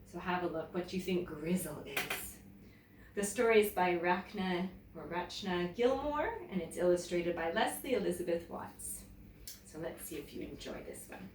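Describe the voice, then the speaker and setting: A woman teacher reading a picture book aloud to children in an expressive, deliberate, animated way. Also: lowish voice. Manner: expressive, deliberate, addressing young listeners. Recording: roomy, echoey, distant mic, faint noise.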